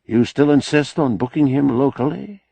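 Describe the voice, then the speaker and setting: A man voicing a measured, guarded government secretary with calm authority, formal and restrained, in an audiobook. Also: mid-range voice, vocal fry, husky, dry recording, pitched above the performer's own voice, low-quality recording. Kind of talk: audiobook character acting.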